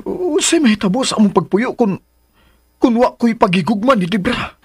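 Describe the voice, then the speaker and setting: A man's voice: high-pitched, lively intonation, moderate pace, slightly rough, heavily acted. An actor playing a troubled young man in a radio drama, brooding aloud over his dilemma. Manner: brooding soliloquy.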